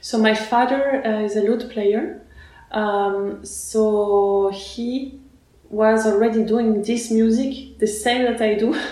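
A woman born in Russia, a guest musician being interviewed, speaking haltingly with many fillers and restarts. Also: medium pitch, darkish tone. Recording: roomy echoey room.

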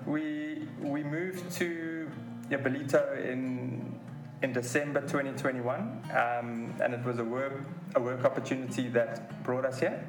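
A man chatting casually and haltingly about moving and church. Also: mid-range voice, flat delivery. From South Africa. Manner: casual, halting, repeats words. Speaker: church member. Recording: echoey room with guitar music.

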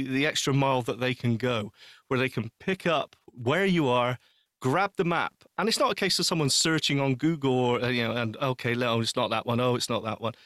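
A man in casual podcast conversation, recorded dry and close with no room sound. He speaks at an ordinary male pitch. His delivery is animated and emphatic, and he often repeats a phrase to drive it home. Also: no echo. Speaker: podcast co-host. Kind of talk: casual chat, telling an anecdote.

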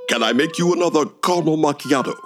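A man's voice: fairly high pitch, fast talker, faint music behind, natural voice. An actor playing a vain but kindly fairy-tale king in a radio comedy, gracious and self-satisfied. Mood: pleased. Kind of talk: comedy radio play acting.

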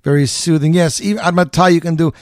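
A man's voice: a little high, fairly even intonation, quick pace, no echo, clean studio sound. A man interviewing a singer in a casual, chatty way with many fillers.

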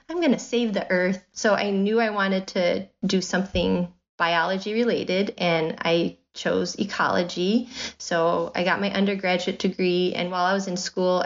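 Lower-voiced Midwestern woman, lo-fi sound. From Illinois, US Midwest. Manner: chatty and warm, laughs often, uses "like" and "you know". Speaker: guest, nature preschool founder. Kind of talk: casual interview.

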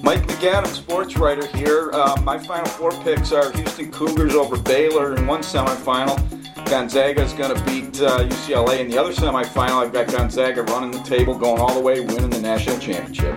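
A man's voice: mid-range voice, steady tone, brisk. A man, a sportswriter, giving a short prepared prediction with music behind him. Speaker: newspaper sportswriter.

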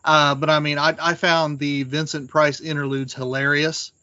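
A man talking casually as a podcast panelist, reflective and halting, with a wry streak. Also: fairly high pitch, flat delivery, pushed and bright, no room sound, muffled recording. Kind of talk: casual discussion of old radio drama.

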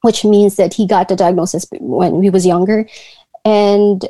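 Mellow-voiced Filipino woman, hesitant. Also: mid-range voice, steady tone, normal speed, no room sound. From Philippines. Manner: hesitant, many restarts and fillers. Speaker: guest. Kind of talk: casual interview conversation.

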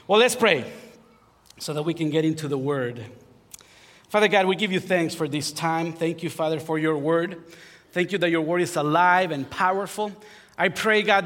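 Honduran pastor preaching in a reverberant hall. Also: male voice, a little high. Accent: Honduran Spanish. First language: Spanish. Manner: warm self-deprecating humor, rising to fervent preaching. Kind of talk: sermon. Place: church sanctuary.

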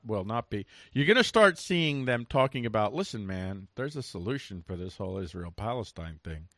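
Bright-voiced American man hosting casual talk. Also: fairly low pitch, no echo. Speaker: talk show host.

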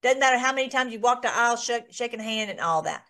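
A woman's voice: on the high side, expressive, fast talker, a bit bright. A woman hosting an informal online Bible study, talking in a rambling way with many restarts and fillers.

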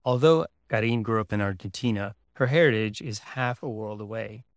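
A man narrating the story and asking questions in a measured, plain delivery. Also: lowish voice, no echo, clean studio sound. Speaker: host and narrator. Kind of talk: scripted narration and interview questions.

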